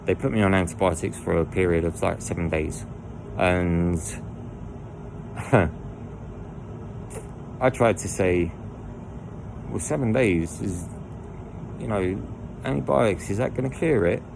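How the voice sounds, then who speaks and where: A man with a croaky voice, telling his own story in a rambling way full of restarts and asides. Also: deep voice, unhurried, pauses often, background noise. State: croaky voice from lying down. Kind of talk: candid personal storytelling. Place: indoors lying down at home.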